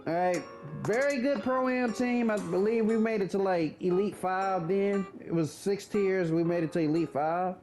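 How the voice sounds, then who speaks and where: Thirty-year-old man, high voice, casual blunt gamer commentary over music. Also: normal speed, hardly any pauses, a little mellow. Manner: laid-back, blunt, swears casually. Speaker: gaming commentator.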